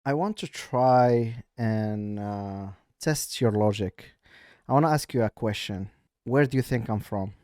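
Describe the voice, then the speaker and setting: A man with a Moroccan accent, live-streaming a casual, teasing chat, halting now and then with fillers. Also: lowish voice, a little mellow, no echo, clean studio sound.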